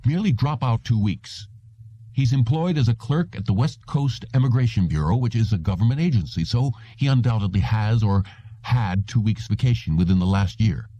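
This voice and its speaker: A man's voice: mid-range voice, normal speed, warm tone, no room sound, dull audio, close to an everyday voice. A man reading the part of an irritable male boss in an audiobook, curt and impatient. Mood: irritated. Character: irritable, fussy male boss of a memory firm.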